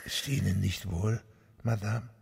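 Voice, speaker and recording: An actor playing a stout, kindly but obtuse village priest in a German radio drama, husky and chatting along obliviously. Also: male voice, on the low side, steady tone, measured pace, a little mellow, exaggerated voice. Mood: brisk, well-meaning. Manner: bluff, chatty, obliviously cheerful.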